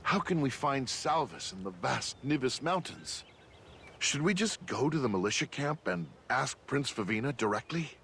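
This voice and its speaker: Animated, earnest young adventurer. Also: male voice, mid-range voice, normal speed, slight background noise. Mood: worried. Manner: questioning, hesitant. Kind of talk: video game cutscene acting. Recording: music under the voice.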